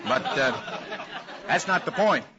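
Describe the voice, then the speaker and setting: Fretful schemer, old noisy radio. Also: male voice, fairly high pitch, animated, measured pace, dull audio, a loud crowd. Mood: worried, exasperated. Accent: American. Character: fretful, scheming man dodging trouble. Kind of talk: 1940s radio comedy acting.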